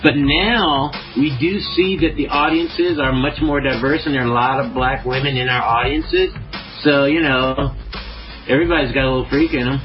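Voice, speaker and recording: A man's voice: mid-range voice, steady tone, moderate pace, muffled recording, faint music behind. A man guest on a podcast, talking in a laid-back, rambling way, full of swearing and fillers. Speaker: podcast guest, show promoter. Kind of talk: casual chat.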